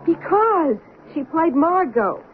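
A woman's voice: very high-pitched, big pitch swings, takes it slowly, full character voice. An actress playing an earnest, distraught woman who insists she is a murderer, in an old-time radio drama. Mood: desperate, insistent. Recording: narrow, noisy old radio recording.

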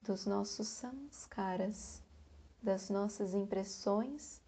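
Brazilian lady doing guided meditation, super slow and calm, dark mellow breathy voice. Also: medium pitch. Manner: slow, soft, calm, with long pauses.

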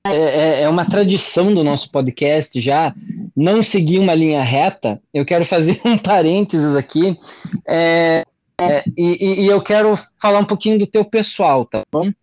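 A man's voice: a little high, unhurried, darkish tone, no room sound, lo-fi sound. A man hosting a podcast, asking questions in a hesitant way, repeating words and slipping in jokes. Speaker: podcast host. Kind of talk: casual interview.

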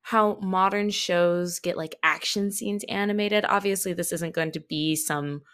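A woman's voice: lowish voice, steady tone, a little slow, a bit bright, clean tone. A woman talking as a podcast guest in a casual, chatty way, telling things expressively with self-deprecating asides. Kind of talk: casual chat about anime.

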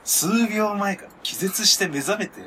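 A man's voice: high voice, measured pace, sharp tone, slight background noise. A man chatting and bantering casually as a podcast co-host.

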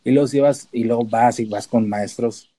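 Mellow northern Mexican man, casual and explanatory. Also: medium pitch, steady tone, normal speed. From Tijuana, Mexico. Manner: informal, sprinkles in English terms. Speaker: podcast guest, data analyst and researcher.

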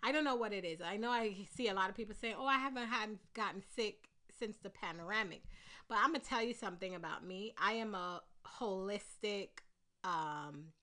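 Stuffy-nosed woman chatting casually, bright voice. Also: medium pitch, normal speed. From Caribbean. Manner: rambling, candid, with frequent fillers. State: congested, stuffy. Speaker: podcast host. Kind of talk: casual solo podcast chat.

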